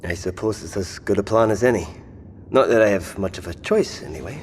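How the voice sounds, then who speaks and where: A male actor as a caring survivor in an audio drama, wry and concerned. His low voice rings in a long reverb with faint noise behind. He sounds gruff yet tender. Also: brisk, lightly acted.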